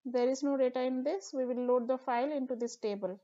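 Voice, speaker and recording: Higher-voiced woman explaining software steps. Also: a little fast, lo-fi sound. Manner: steady step-by-step explaining with fillers. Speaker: tutorial instructor. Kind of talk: screen-recorded software tutorial.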